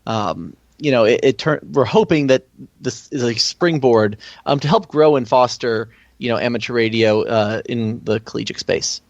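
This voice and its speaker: A man's voice: medium pitch, normal speed, no room sound, muffled recording. A man speaking as a guest in a casual interview, explaining things in a conversational way. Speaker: guest, amateur radio contest organizer.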